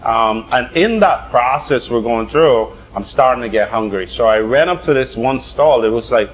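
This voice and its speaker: Animated Guyanese-born man telling stories. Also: medium pitch, poor audio. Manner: animated storyteller, quick bursts, laughs often. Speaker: chef. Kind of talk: casual chat.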